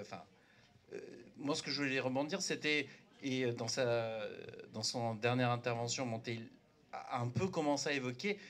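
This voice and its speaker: Guy from turkey, turkish his first language, speaking up at a debate, lots of ums and restarts, mid pitch. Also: a bit bright. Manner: hesitant, lots of fillers and restarts. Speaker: audience member speaking up. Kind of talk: spontaneous debate remark.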